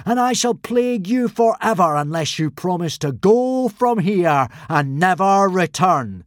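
A man voicing a boy who poses as an ancient thunder god, booming solemn commands. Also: animated, measured pace, no echo, clean studio sound.

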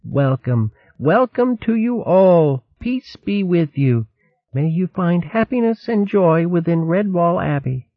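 A man voicing a kindly old female mouse abbess, gentle and dignified. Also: high-pitched, animated, slow, no room sound, lo-fi sound, pitched above the performer's own voice, heavily acted. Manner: gentle, dignified, soft-spoken. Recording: dry, thin, band-limited recording.

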